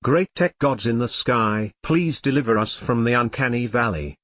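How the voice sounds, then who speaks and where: A man's voice: mid-range voice, normal speed, a bit bright, no room sound, lightly acted. A synthetic male text-to-speech voice playing a melodramatic, easily flustered computer turned elephant in an audio comedy drama. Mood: eager, whimsical. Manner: theatrical complaining in synthetic text-to-speech delivery.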